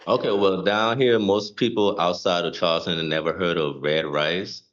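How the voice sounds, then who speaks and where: A man from South Carolina with a Gullah Geechee accent, chatting as a guest in a laid-back, halting way. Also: low voice, fairly even intonation, muffled recording. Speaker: guest streamer and cook. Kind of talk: casual interview chat.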